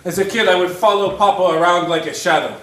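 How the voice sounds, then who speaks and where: An actor playing an angry, bitter prisoner in a stage play, with an intense, dramatic delivery. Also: male voice, sits high, steady tone, fast, a bit bright. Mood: bitter remembrance. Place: theatre stage, recorded from a distance. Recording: echoey stage, distant microphone.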